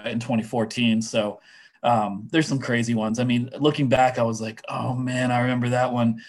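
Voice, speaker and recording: A man whose voice is going hoarse, chatting casually on a sports podcast with lots of fillers and restarts. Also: lowish voice. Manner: rambling, many fillers and restarts. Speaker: minor league baseball writer guest.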